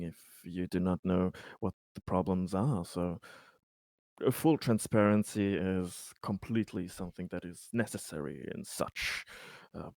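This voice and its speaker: A man playing an earnest, diplomatic colony official in a tabletop roleplay, speaking formally in wordy, halting phrases. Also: low voice, vocal fry, no echo. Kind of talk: improvised tabletop roleplay dialogue.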